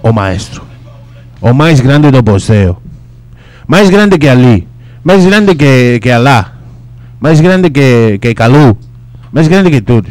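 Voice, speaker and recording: A man playing a boastful, paranoid Brazilian ex-footballer on a radio comedy bit, with a put-on Brazilian Portuguese accent, rambling and halting as he mixes Portuguese into his Spanish. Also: mid-range voice, very animated, a little mellow, no echo. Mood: grandiose.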